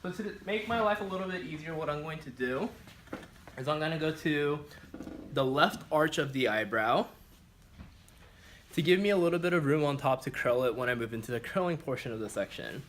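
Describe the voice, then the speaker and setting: A man gives instruction in a clear step-by-step demonstration style. His voice sits a little higher than average and has a bright, forceful edge. There is faint background noise in the recording. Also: expressive, a little fast. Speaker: barbering instructor.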